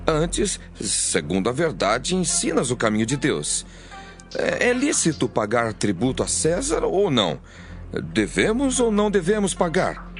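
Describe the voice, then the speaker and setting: A hypocritical male Pharisee played by a man flatters theatrically in an audio Bible drama. His pitch swoops dramatically through each phrase. Soft music lies underneath. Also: unhurried. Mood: flattering, crafty. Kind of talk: dramatized scripture audio reading.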